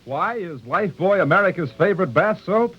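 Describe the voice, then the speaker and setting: A man's voice: sits high, lively intonation, fairly quick, slightly rough, soft background music. A man reading a scripted 1940s radio advertisement as a commercial announcer. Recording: dull 1940s radio recording.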